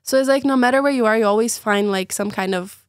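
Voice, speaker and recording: A woman's voice: a little high, quick pace, no room sound, clean studio sound. A young woman from Brazil with a Brazilian Portuguese accent, chatting as a podcast guest, with lots of fillers and laughter. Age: young adult. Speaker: guest, former student. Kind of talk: casual podcast chat.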